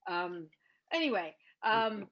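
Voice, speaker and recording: A woman's voice: medium pitch, animated, slow, highs cut off. Woman hosting a podcast chat, emphatic, keeps repeating herself mid-thought. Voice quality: emphatic, repeats words while thinking. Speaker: podcast host. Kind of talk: casual discussion.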